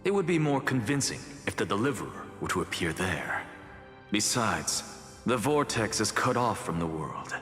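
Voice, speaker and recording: An actor voicing an arrogant, theatrical demigod of reason in a game, speaking in measured, articulate, haughty lines. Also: male voice, on the low side, a bit bright. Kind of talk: dramatic game dialogue. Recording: reverberant hall with music underneath.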